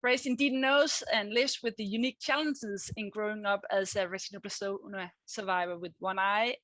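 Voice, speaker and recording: A woman's voice: mid-range voice, a bit bright, dry recording. A woman with a Danish accent introducing a speaker as moderator, careful and reassuring. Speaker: session moderator. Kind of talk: introducing a speaker at an online conference. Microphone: video call.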